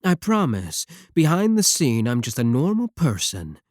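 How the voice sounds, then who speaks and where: A man's voice: medium pitch, wildly swooping pitch, normal speed, a little mellow, no echo, studio quality. A man playing a clingy, possessive yandere VTuber, pleading defensively and intimately with a single viewer in an ASMR roleplay.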